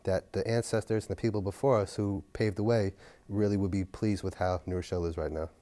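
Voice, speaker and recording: A man, a city council member, speaking earnestly and at a measured pace in an interview. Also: low voice, fairly even intonation, mellow. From New York. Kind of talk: interview reflection.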